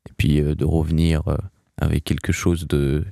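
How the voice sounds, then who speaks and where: Young man, very low voice, halting answers. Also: little pitch movement, vocal fry, warm tone, no echo, studio quality. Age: young adult. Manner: hesitant, many restarts and fillers. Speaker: singer, interview guest. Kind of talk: casual radio interview answers.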